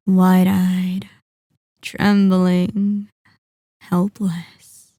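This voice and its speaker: A woman acting as ancient female vampire, sadistic, possessive, very slow taunting delivery, dark mellow tone, commanding, intimate ASMR roleplay. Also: mid-range voice, dry recording, clean studio sound. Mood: gloating, amused. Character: centuries-old sadistic, possessive female vampire, silkily menacing. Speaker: audio roleplay performer.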